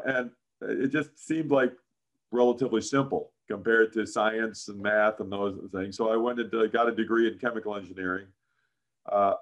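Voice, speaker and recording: A man in his sixties with a deep voice, a guest in a casual interview, telling career stories with many "frankly"s and "uh"s. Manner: frequent "frankly" and "uh", animated anecdotes. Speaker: interview guest, business founder.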